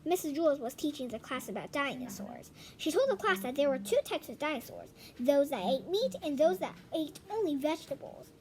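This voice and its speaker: A woman's voice: high voice, very animated, a little fast, few pauses. A female amateur reading a children's storybook aloud, stumbling and repeating phrases as she goes. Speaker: reader of a children's book.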